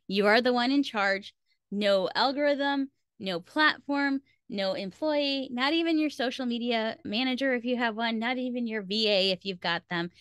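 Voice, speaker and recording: Female podcast host, mid-pitched, explaining points emphatically in an informal teaching style, recorded dry. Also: fairly bright tone, dry recording. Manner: emphatic, repeats key points for stress. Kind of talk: instructional solo podcast talk.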